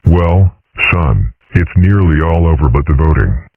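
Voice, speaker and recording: Man playing very deep, husky weary dragon dad. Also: lively intonation, unhurried, no room sound, full character voice. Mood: reflective. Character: adult male dragon father, weary, wry presidential candidate. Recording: muffled phone-like lo-fi audio.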